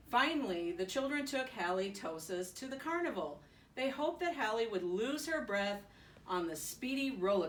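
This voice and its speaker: Slow-reading woman in a roomy recording. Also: a little high. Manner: giggly, expressive reading with playful asides. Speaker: children's storybook reader. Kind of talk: bedtime story read aloud. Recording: roomy, distant mic with faint noise.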